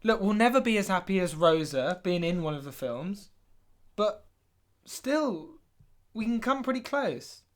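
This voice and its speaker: An earnest British podcast co-host, acted by a man, awkward and eager to placate. He talks hesitantly in deadpan comedy dialogue and comes across as easily hurt. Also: sits high, unhurried.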